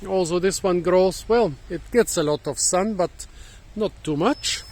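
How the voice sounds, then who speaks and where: A hoarse man, musing and halting as he thinks aloud while hosting a casual fruit-tasting vlog outdoors in a garden, recorded on a phone. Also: high voice, darkish tone. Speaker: fruit channel host. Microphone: phone camera.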